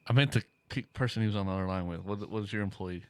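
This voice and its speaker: A man's voice: on the low side, very fast, a little mellow, clear, resonant tone, no room sound. A man co-hosting a casual podcast, chiming in with short reactions.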